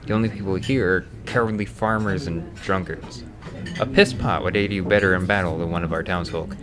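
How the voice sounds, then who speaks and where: A man's voice: fairly low pitch, lightly acted. A man voicing a boastful, cynical ex-adventurer drinking in a tavern in a roleplay piece, with a sardonic, bragging manner. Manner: cocky, sardonic, slurred bragging. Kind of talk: romance roleplay ASMR.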